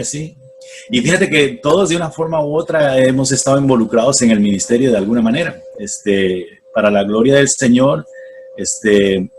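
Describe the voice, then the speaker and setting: A man in his forties from Costa Rica with a Costa Rican accent, giving a reflective, unhurried testimony as a guest on a radio interview, with many pauses and fillers. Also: mid-range voice, slight background noise, rough recording. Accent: Costa Rican Spanish. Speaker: guest, former missionary.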